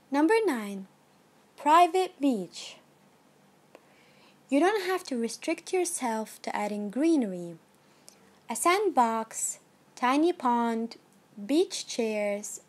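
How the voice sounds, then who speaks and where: Slow, higher-voiced woman narrating a list. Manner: measured, even read-aloud delivery. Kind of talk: scripted narration of a numbered list.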